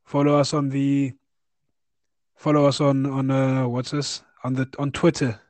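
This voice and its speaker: A relaxed man with a mid-range voice, chatting loosely as co-host of a fight podcast. He talks on a remote call in a dry, close-sounding space, often repeating himself and tossing in "bro" and "man". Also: medium pitch, unhurried, dry recording. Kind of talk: casual MMA podcast chat. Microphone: remote call over Wi-Fi.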